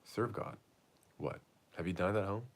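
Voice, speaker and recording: A man's voice: deep voice, moderate pace, natural voice. A man reading the part of a weary, teasing old female nurse in a Shakespeare play, grumbling and exclaiming. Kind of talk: amateur read-aloud of Shakespeare play.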